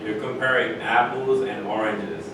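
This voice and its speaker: A man playing a reasonable basketball player in a stage play reading, speaking in a measured, conversational way. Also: steady tone, lightly acted. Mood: mildly objecting. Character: reasonable basketball player among proud athletes. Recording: distant mic, heavy echo, noisy rumble.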